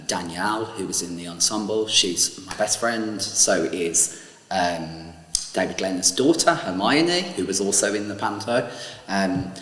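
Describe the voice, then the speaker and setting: Deep-voiced man in an echoey hall. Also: a bit bright. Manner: earnest, fond, a little halting. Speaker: stage actor. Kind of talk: interview.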